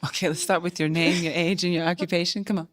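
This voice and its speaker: A woman's voice: lowish voice, steady tone, quick pace. A woman hosting a dating show, upbeat and encouraging as she runs through her questions.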